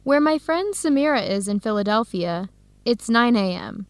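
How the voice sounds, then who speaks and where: A woman playing a determined young American woman in an English-learning radio drama, speaking in clear, simple scripted sentences with an American accent. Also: a little high, expressive, moderate pace, no echo, natural voice. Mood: friendly, at ease. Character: Helen, determined young American woman searching for birth family.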